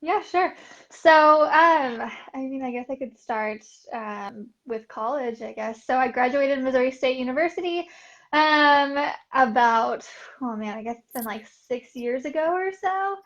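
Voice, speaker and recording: A woman's voice: high-pitched, animated, a little slow, a bit bright. A young woman artist, a guest in a casual interview, talking upbeat with frequent fillers and laughs. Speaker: artist and art business coach, guest. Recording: dull lo-fi audio.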